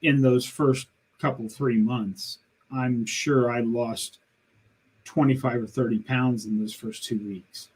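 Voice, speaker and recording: A man's voice: medium pitch, steady tone, takes it slowly, darkish tone, husky, rough recording. A man of sixty in a casual interview, telling his story in an earnest, plain-spoken way. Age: 60s. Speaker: guest.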